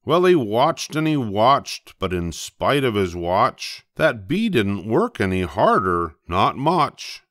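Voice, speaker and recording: An older man reading a rhyming children's storybook aloud, expressively, with playful emphasis and drawn-out words. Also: fairly low pitch, sing-song, a bit bright, dry recording, clean studio sound. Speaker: storybook reader.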